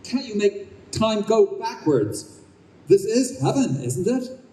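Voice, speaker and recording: Man playing a blustery, animated old ex-sergeant. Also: sits high, takes it slowly, heavily acted. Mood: exasperated. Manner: blustering, insistent. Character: stubborn, blustery old ex-drill-sergeant father, newly dead. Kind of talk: old-time radio play acting. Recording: echoey live stage, distant microphone.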